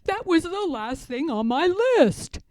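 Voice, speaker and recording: A fussy, literal-minded male toad, acted out by a woman reading a storybook. He is emphatic and dramatic, with a high, very animated voice that keeps a mellow edge. He gets upset easily. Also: a little slow, dry recording, clean studio sound. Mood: glum, then exclaiming. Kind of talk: children's storybook character voice.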